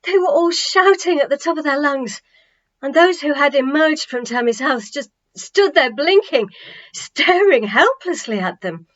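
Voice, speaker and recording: A woman reading a children's novel aloud as an expressive storybook narration, with dramatic, emphatic phrasing and deliberate pauses. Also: high voice, lo-fi sound.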